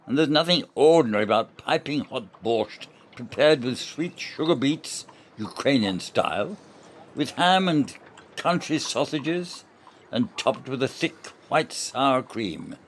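A man plays a proud, argumentative general in a radio play. He is pedantic and emphatic, with a very mobile pitch. The recording carries a little background noise. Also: big pitch swings, measured pace. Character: stubborn, proud military general, formal and argumentative. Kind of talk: amateur radio play acting.

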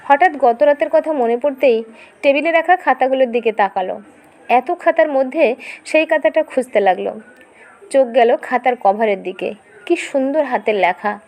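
A woman reading a scripted dramatic story as narrator, in an expressive, emotive storytelling manner. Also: sits high, normal speed.

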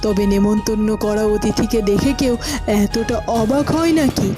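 An actress voicing a gracious, gently chiding queen mother in a cartoon. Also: mid-range voice, moderate pace, over music, close to an everyday voice.

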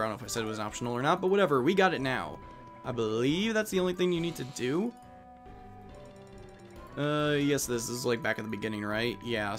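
A young man giving casual, rambling, self-deprecating commentary as he plays a video game. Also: mid-range voice. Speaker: let's play host. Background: video game music.

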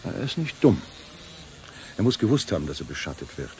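An actor playing a shrewd, cynical intelligence doctor in a German radio play, with a dry, measured delivery. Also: male voice, deep voice, big pitch swings, a little mellow. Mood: coolly insistent. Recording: faint noise on old radio recording.

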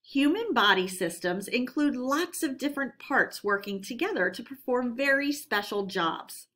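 A woman's voice: on the high side, expressive, normal speed, a bit bright. A woman teacher giving a read-aloud lesson for children in an instructional manner, addressing her pupils directly.

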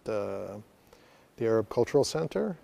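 A man's voice: fairly low pitch, very animated, moderate pace, creaky, warm tone. A man who is a musician, speaking easygoing about how he started playing, with hesitant starts. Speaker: musician, ensemble member. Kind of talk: interview recollection.

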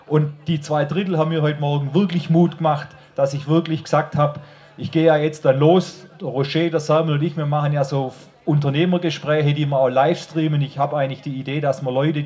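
A man's voice: fairly high pitch, darkish tone. A man with a Swabian accent gives an informal, colloquial rally speech outdoors into a handheld microphone, addressing the crowd directly. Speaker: rally speaker. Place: outdoor stage under an open tent.

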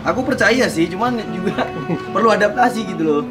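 A man, bantering casually and excitably, repeating his phrases. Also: high-pitched, brisk. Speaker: vlog co-host. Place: open carport in a village yard. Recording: echoey, loud music behind. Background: loud music, roosters and birds.

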